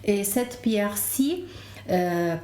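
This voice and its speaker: A woman's voice: mid-range voice, lively intonation, slow, warm tone. A woman from Lebanon with a Lebanese accent, telling her story as a podcast guest and searching for her words. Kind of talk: casual interview, telling own story. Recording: roomy, echoing room.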